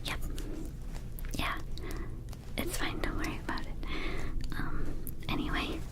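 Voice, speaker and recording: Woman playing a friendly young female vampire clerk, slow breathy whisper, hesitant rambling, ASMR roleplay. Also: a bit bright, natural voice. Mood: friendly, soothing. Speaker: ASMR performer.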